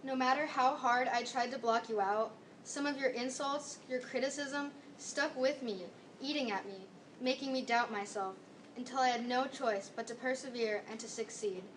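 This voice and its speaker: A woman's voice: fairly high pitch, normal speed, a bit bright, distant mic, poor audio. Female student, early teens, stage recitation, off-mic with faint noise. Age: young teenager. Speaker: student performer. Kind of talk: readers theater recitation.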